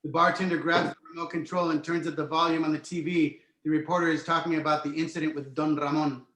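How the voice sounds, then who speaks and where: A man's voice: a little high, little pitch movement, fast talker, fairly bright tone. A man reading stage directions as scripted narration for a screenplay table read. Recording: roomy, echoey home recording.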